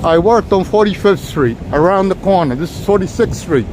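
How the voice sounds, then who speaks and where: A man's voice: high voice, animated, normal speed. Chatty New man on a street. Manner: chatty, rambling, talks to viewers. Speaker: walking tour vlogger. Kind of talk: casual livestream walking tour. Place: outdoors on a busy city street. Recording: noisy street recording. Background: street traffic noise.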